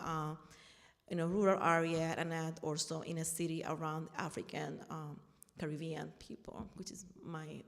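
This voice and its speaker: Puerto rican lady, spanish accent, kinda low voice, big echoey hall, earnest, keeps restarting. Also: creaky. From Puerto Rico. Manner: earnest, searching for words, frequent restarts. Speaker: panel speaker, artist and activist. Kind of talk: personal talk at a panel.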